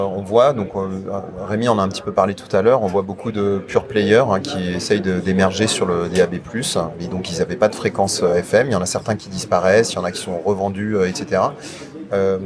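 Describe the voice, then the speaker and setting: Low-voiced interviewer amid crowd chatter. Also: male voice. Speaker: podcast interviewer. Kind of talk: conversational interview questioning. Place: at a radio trade show. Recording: busy trade show crowd behind.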